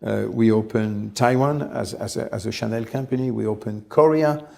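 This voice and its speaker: A man, telling his life story in an interview, measured and reflective, often pausing to find his words. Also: lowish voice, creaky, darkish tone. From France. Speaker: company head, interview guest.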